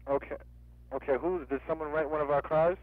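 Male rental clerk, rapid speech, insistent questions, thin telephone line. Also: fairly high pitch, steady tone, no room sound. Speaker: car rental office agent. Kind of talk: customer service phone call. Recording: tinny, narrow-band phone line.

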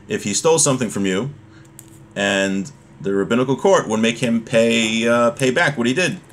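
Male religious teacher, thirties, slightly low voice, informal and halting explanatory delivery, home recording with faint noise. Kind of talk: informal Torah lesson, reading a text and explaining it. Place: small home room, indoors.

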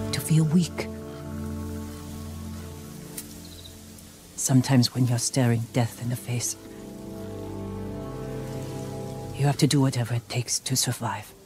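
A woman's voice: rumbling low, level tone, moderate pace, over music. An actress playing a tough, pragmatic female survivor in a video game, blunt and intense but restrained. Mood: grave. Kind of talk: dramatic video game acting.

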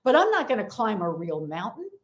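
A woman's voice: mid-range voice, fairly quick. A middle-aged woman, a leadership coach, talking energetically as a podcast guest. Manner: energetic, acts out quoted voices. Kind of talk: podcast interview.

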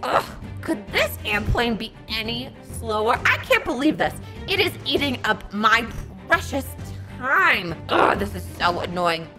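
A woman's voice: big pitch swings, a little slow, sharp tone, soft background music, exaggerated voice. A woman voicing an entitled, bossy Karen villain who is whiny and demanding. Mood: gloating. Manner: whiny, shrill, demanding, bursts into squeals and cackles. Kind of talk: Roblox roleplay skit voice acting.

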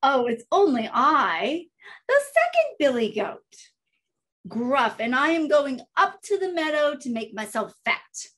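Woman as a polite, high-voiced middle billy goat. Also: big pitch swings, a little slow, fairly bright tone, full character voice. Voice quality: medium-sized voice, not so small. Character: medium-sized billy goat, polite and pleading.

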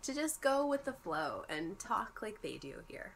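A woman's voice: medium pitch, big pitch swings, unhurried, a little hiss or hum. Congested young woman vlogging. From United States. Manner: chatty, explanatory, friendly. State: congested from a cold. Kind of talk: casual vlog. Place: bedroom at home.

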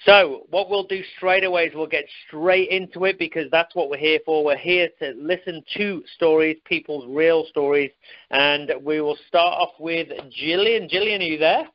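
A man hosts a testimonial call in a friendly, encouraging way. His voice sits a bit high for a man. The sound is dry and dull, like audio from a conference line. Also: normal speed, no room sound. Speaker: call host.

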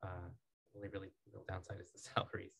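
Podcast guest, man in his thirties, low voice, quick and articulate, studio boom mic. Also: monotone, gravelly, pushed and bright, husky. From United States. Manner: measured, articulate, many "um"s and restarts. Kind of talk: casual interview talk. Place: small acoustic-foam podcast studio.

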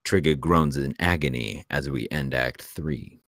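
A man with an extremely low voice narrates a screenplay's action lines. His reading is measured and scripted. The recording is dry, with very little room sound. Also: fairly bright tone, no room sound. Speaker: narrator reading stage directions.

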